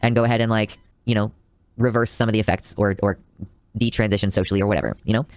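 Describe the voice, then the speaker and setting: A man's voice: fairly low pitch, fairly even intonation, fast, clear, resonant tone, dry recording. A man in a video, giving an earnest, explanatory scripted commentary.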